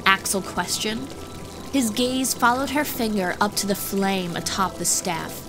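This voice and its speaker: Female narrator for scripted fantasy fiction, medium pitch, over a clear music bed. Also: a little slow. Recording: music behind the voice.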